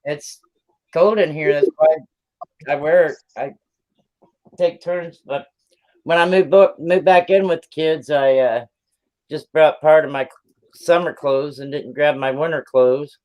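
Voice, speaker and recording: A man's voice: on the high side, steady tone, a little slow, pauses often, darkish tone. A man in his fifties hosting a live stream, chatting casually in a rambling, plain-spoken way. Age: 50s. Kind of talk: casual chat.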